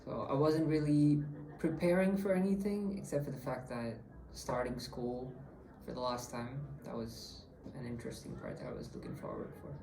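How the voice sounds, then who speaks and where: A young man from India in his twenties, answering interview questions casually, halting with fillers. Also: medium pitch, lively intonation, vocal fry, echoey room, noisy background. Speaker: student interviewee.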